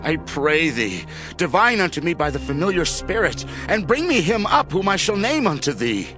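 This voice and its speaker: A man's voice: fairly high pitch, big pitch swings, moderate pace, bright, forceful tone. An actor playing a desperate, fearful king with an impassioned, theatrical delivery in a scripture drama. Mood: urgent, pleading. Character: desperate, fearful king seeking forbidden counsel. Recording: music bed behind the voice.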